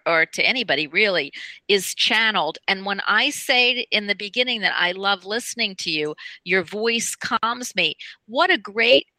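A woman's voice: medium pitch, pushed and bright, no echo. A woman hosting a talk show, chatting enthusiastically in a scratchy voice. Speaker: radio show host. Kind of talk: enthusiastic chat.

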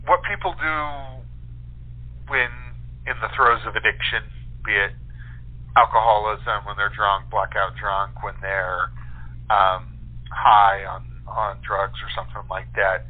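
Halting man on a telephone line. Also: fairly low pitch, fairly even intonation. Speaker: guest, playwright and actor. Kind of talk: casual interview discussion.

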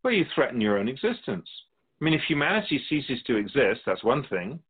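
A man playing a calm, wise, otherworldly keeper of the soul realm in a radio drama, speaking in a measured, gently lecturing way. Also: mid-range voice, slightly rough. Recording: tinny phone-like sound.

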